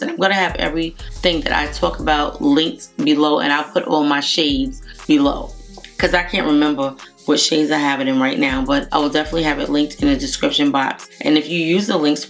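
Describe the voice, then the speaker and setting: Hoarse woman in her thirties, low register, chatty vlogger to camera, home setting, faint music under. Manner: chatty, rambling, warm greetings to viewers. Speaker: beauty vlogger. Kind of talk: casual product review to camera. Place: home room with makeup shelves, indoors. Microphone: camera at desk distance, framed head and shoulders.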